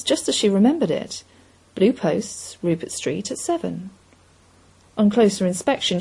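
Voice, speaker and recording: A woman reading literary fiction aloud in a measured storytelling manner. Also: medium pitch, dark tone, breathy edge. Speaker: story reader. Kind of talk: scripted literary fiction narration.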